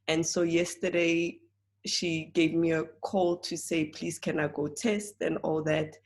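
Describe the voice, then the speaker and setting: A woman speaks in a hoarse, croaky voice that sits low for a woman. She talks slowly and earnestly, stopping often for fillers and restarts. She presents a casual class from home over a video call. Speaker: presenter of an online oils class. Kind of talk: casual spoken class talk.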